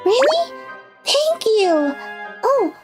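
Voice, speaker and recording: Sweet, easygoing teen gyaru, very high cutesy anime voice, slow delivery, music behind. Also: female voice, big pitch swings, heavily acted. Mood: cheerful. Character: sweet easygoing teenage gyaru girl, cat-like. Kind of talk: cutesy anime character dialogue. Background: background music.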